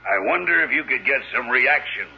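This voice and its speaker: Retired small town fella, husky, folksy, self-assured, old muffled radio play. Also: male voice, medium pitch, expressive, fairly quick. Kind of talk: old-time radio drama acting. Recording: muffled vintage radio recording.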